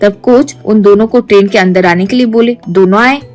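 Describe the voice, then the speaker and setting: A woman's voice: mid-range voice, a little fast, darkish tone. Woman narrating a story over faint music.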